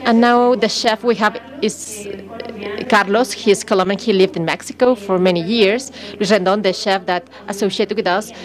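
Female live interpreter, medium pitch, halting short phrases, conference talk delivery. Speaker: simultaneous interpreter.

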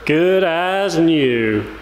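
A man in his thirties casually showing a how-to in his workshop, relaxed and full of fillers. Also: fairly high pitch, very slow. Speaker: welding fabricator, channel host. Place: workshop with bare walls, indoors. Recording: echoey workshop, some noise.

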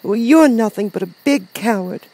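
A man putting on a high-pitched, scolding little girl. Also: lively intonation, a little slow, darkish tone, no echo, full character voice, rough recording. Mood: angry scolding. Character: little girl, anxious yet bold and scolding. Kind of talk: storybook character voice.

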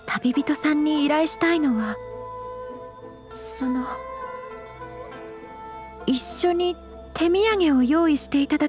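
A refined young noblewoman speaks in a high voice with careful honorific politeness. She is earnest and modest, measuring each phrase gently, as in a Japanese anime game. Soft music plays beneath her. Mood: polite, earnest. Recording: music under the voice.

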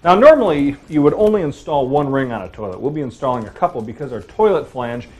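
Male how-to host, mid-pitched, calm demonstrator voice, walks through each step plainly. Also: quick pace. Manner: plain, steady explaining while demonstrating. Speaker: home improvement host.

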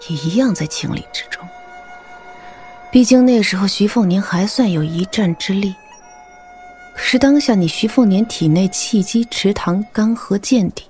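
An actress playing a proud, cold, scornful martial-arts master in a Mandarin audio drama, with a haughty, disdainful delivery. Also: female voice, medium pitch, a little mellow, close to an everyday voice.

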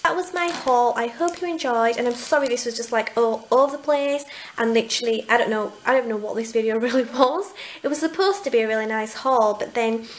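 A woman's voice: on the high side, fairly quick. A young woman in a casual haul video at home, gushing over cute finds while showing them to the camera. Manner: gushing, enthusiastic about cute items. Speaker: vlogger. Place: home, indoors at a table.

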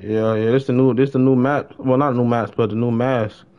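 A man's voice: mid-range voice, steady tone, moderate pace. A young American man chatting casually and slangily on a gaming livestream, often muttering to himself. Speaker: live streamer. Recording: dull lo-fi streaming mic.

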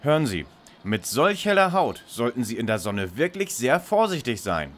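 A man's voice: mid-range voice, very animated, normal speed, natural voice. A man playing a formal, know-it-all amateur detective in a parody audio play, speaking precisely and politely. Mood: matter-of-fact. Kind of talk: parody audio drama acting.

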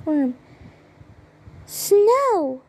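A young performer as a very high-pitched magical princess heroine. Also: big pitch swings, darkish tone, exaggerated voice. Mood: triumphant. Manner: theatrical, drawn-out exclamations. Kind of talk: anime-style fan voice acting, slow dramatic calls.

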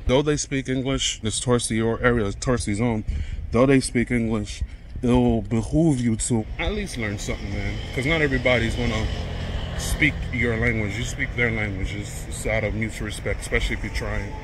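A man's voice: medium pitch, a little hiss or hum. An American man talking casually in a chatty, colloquial way as he films a walking travel vlog outdoors. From United States. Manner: laid-back, colloquial, chatty. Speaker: travel vlogger. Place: outdoors on town streets.

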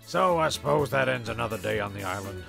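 A man's voice: fairly low pitch, lively intonation, fast, creaky, over music. A man delivering scripted comic narration in a dry, mock-dramatic, crude way. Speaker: narrator of a comedy sketch series.

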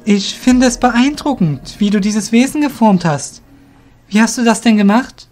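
Man voicing a high-pitched, earnest young rogue. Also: a little slow, a little mellow, faint music behind. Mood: friendly, curious. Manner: firm, friendly, principled, forthright. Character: earnest, proud young male rogue, a magic novice. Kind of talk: amateur fantasy audio drama acting.

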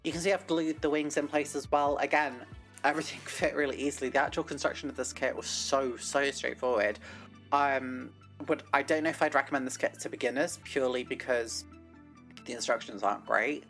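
A woman's voice: extremely low pitch, steady tone, a little fast, fairly bright tone. Woman rambling about her model kit, lots of ums, faint music behind. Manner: chatty, rambling, self-deprecating, frequent fillers. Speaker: scale-model vlogger. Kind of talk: casual hobby vlog with read history segment.

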